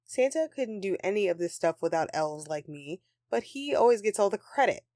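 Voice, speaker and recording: A woman's voice: mid-range voice, animated, moderate pace, darkish tone, no echo, clean studio sound, natural voice. A woman playing a grumpy but affectionate Christmas elf in an intimate audio roleplay, with a sarcastic, dramatic, sighing delivery. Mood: indignant ranting.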